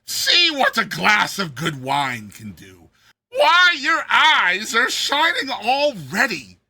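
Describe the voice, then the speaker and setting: A man's voice: huge pitch swings, slow pace, vocal fry, pushed and bright, heavily acted. A man voicing a cruel, mocking, boisterous tyrant king in a theatrical, roaring storybook reading. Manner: booming, theatrical, roaring, imperious.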